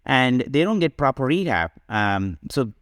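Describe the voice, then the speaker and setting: A man's voice: medium pitch, very animated, a little slow, fairly bright tone, no echo, clean studio sound. A man giving conversational interview answers as a podcast guest, explaining things and often checking in with a "right?". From Sri Lanka. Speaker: podcast guest, digital health company co-founder.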